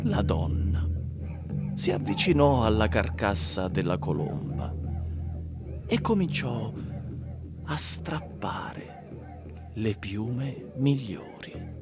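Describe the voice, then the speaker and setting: A man's voice: lowish voice, big pitch swings, slow pace, pauses often. A man giving dramatic literary narration in a radio theatre piece. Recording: voice over loud plucked guitar music. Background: plucked string music.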